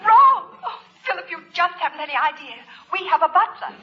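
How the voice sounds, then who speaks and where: An actress playing a frantic, exasperated middle-aged housewife in a 1950s radio sitcom, urgent and exclamatory, with an American accent. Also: female voice, very high voice, lively intonation, a little fast. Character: frantic, exasperated housewife phoning her husband. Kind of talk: 1950s radio sitcom acting before an audience. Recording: dull, echoey old radio broadcast, audience.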